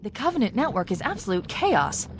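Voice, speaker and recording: A woman playing a sharp-tongued, sarcastic military AI in a video game, with crisp, urgent delivery. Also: mid-range voice, huge pitch swings, a little fast, over music. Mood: brisk, businesslike. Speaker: AI companion. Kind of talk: video game cutscene acting.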